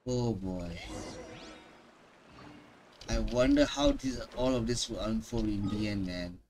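A man's voice: on the low side, slow, a little mellow. A man streaming live reaction commentary on a game, dramatic and repeating phrases for emphasis. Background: faint game music.

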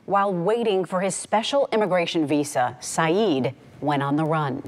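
A woman news reporter giving scripted voice-over narration for a TV story, measured and polished. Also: sits low.

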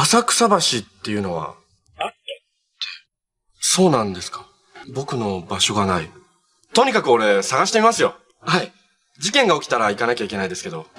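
A lively, cheerful young superhero. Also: male voice, a little high. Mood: polite, concerned. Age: young adult. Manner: casual, friendly, upbeat. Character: cheerful, earnest young male transforming superhero. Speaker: actor in a TV superhero series. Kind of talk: live-action TV drama acting.

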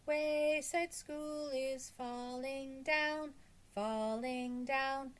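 A woman's voice: medium pitch, huge pitch swings, slow, full character voice. A woman voicing a smug little schoolgirl with a teasing, singsong manner in a children's story. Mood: singsong taunting.

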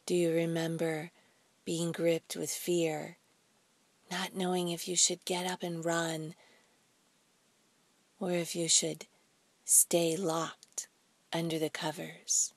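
A woman leading a guided meditation in a soft, breathy, soothing voice, speaking deliberately in short phrases with pauses. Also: fairly low pitch, flat delivery, no room sound. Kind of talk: slow guided meditation narration.

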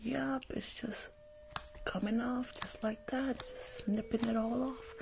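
A woman's voice: fairly high pitch, fairly even intonation, takes it slowly, vocal fry, noisy background. A woman voicing a rude, impatient, gum-chewing New York school nurse in an ASMR roleplay, repeating herself in a brusque, mock-soothing way close to the microphone. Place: small bedroom. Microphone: close furry-covered condenser microphone.

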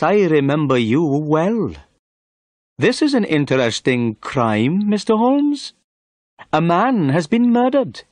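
A man's voice: high voice, dry recording, voice pushed up, heavily acted. A man voicing a pompous, self-important police inspector in an audiobook detective story, with an insistent, brusque manner.

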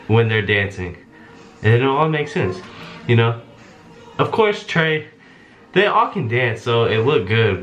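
A man's voice: medium pitch, fairly bright tone, poor audio. A young man casually talking to his phone camera at home, rambling and slangy as he wraps up his vlog. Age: young adult. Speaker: vlogger. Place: indoors at home, small room. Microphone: phone held at arm's length.

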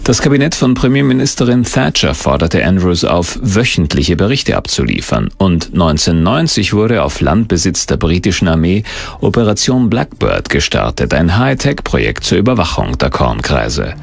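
A man's voice: sits low, sing-song, moderate pace, gravelly, slightly rough. A man narrating a scripted documentary.

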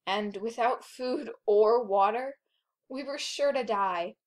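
Grown female wolf narrator for a cartoon , played by a woman: very unhurried, fairly high, measured storytelling. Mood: somber, measured. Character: grown female wolf recalling her orphaned cubhood.

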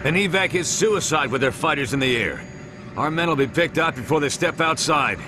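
A man plays a tough male soldier in a video game. He is terse and intense, slightly high in pitch and widely varied in tone. Battle noise is clear around him. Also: lively intonation, fairly quick, natural voice. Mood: urgent. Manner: terse, intense military speech. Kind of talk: dramatic video game voice acting.

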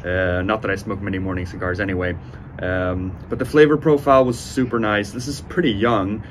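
A man in his twenties giving a casual, unhurried review to camera, speaking with enthusiastic praise. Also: lowish voice, steady tone. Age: 20s. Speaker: cigar reviewer, host. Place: outdoors on a sunny porch by windows. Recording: outdoor ambient noise.

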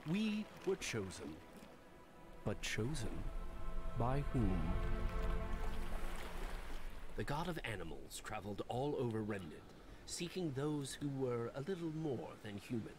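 A male actor playing a grave storyteller who narrates an animated fairy tale in a scripted style. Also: mid-range voice, a little slow, pauses often, music in the background. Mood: grave, measured. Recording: show playback with clear background noise.

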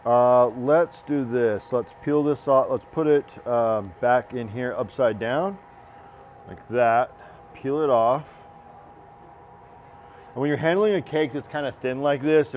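A man, giving a relaxed, encouraging cooking lesson in a large teaching kitchen. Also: medium pitch, slight background noise. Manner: relaxed, encouraging, talks while working. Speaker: culinary instructor. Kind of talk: cooking demonstration.

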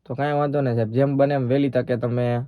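A man's voice: medium pitch, flat delivery, quick pace, no room sound, narrow, tinny audio. A man with a Saurashtra Gujarati accent making a prank call as a polite, persistent bank employee. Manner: polite, persistent, official-sounding. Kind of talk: prank phone call in casual dialect.

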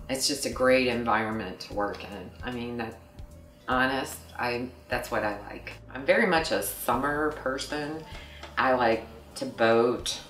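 A woman's voice: extremely low pitch, fairly bright tone. A middle-aged woman from St. Louis, a customer service rep, talking casually in an office interview with many fillers and small laughs. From St. Louis, Missouri. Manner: halting, many fillers, laughs between thoughts. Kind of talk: casual company profile interview. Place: office room, indoors. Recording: roomy sound from a distant mic, faint music.

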